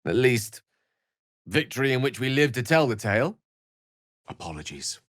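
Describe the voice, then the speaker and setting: An actor playing an eloquent, wry, romantic scholarly wizard in a fantasy video game, speaking in an articulate, theatrical way. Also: male voice, medium pitch, big pitch swings, moderate pace, fairly bright tone, no room sound, clean studio sound. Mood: playful, romantic.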